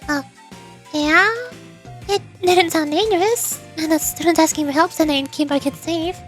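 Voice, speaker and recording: A woman's voice: extremely high pitch, normal speed, soft background music, voice pushed up. A woman voicing an earnest young female instructor in a game story, eager and a little hesitant.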